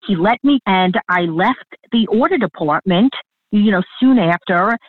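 A woman with a thick Long Island accent, telling stories as an interview guest, lively and laughing, over a tinny phone line. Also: mid-range voice, dry recording. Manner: lively, laughing, talks in quick bursts with restarts. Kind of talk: animated storytelling interview.

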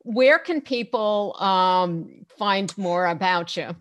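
A woman host speaks in a casual interview style with a medium-pitched voice. The sound is dry, with no room echo. She comes across as reflective and agreeable, pausing and restarting as she thinks her points through. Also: animated, a bit bright.

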